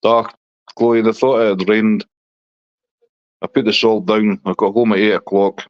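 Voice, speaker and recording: A man's voice: on the low side, little pitch movement, a little slow, no echo, highs cut off. A middle-aged man from Scotland with a Scottish accent, chatting casually on a live stream and answering viewers' comments.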